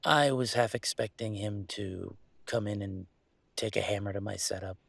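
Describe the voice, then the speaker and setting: A man playing an upset young werewolf venting about his father in an intimate audio roleplay, speaking in a halting, emotional, rambling way. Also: on the low side, steady tone, creaky, dry recording. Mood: weary, hurt.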